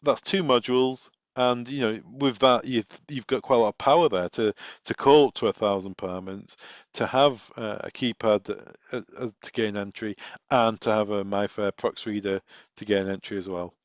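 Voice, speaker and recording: A man's voice: on the low side, fairly even intonation, normal speed. A man presenting informal product training, explaining things step by step through practical examples. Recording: echoey room, dull muffled sound.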